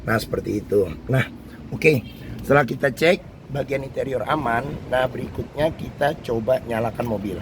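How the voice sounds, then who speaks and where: Hoarse man reviewing a used car. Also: mid-range voice. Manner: unhurried, many fillers and "nah". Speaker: used car dealer host. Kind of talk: walkaround car review with buying tips. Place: car showroom with traffic outside. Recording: loud ambient street and vehicle noise.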